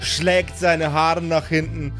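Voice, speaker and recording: Slow, deliberate male game master over music. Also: fairly high pitch, little pitch movement, a bit bright. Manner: deliberate, drawn-out storytelling. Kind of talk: dramatic improvised pen-and-paper narration.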